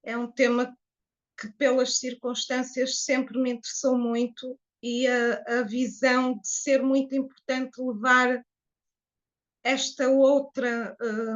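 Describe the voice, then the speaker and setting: High-voiced Portuguese woman in her fifties. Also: muffled recording. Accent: Portuguese (European Portuguese). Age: 50s. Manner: reflective, unhurried, with hesitations. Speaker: guest. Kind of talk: personal testimony in an interview.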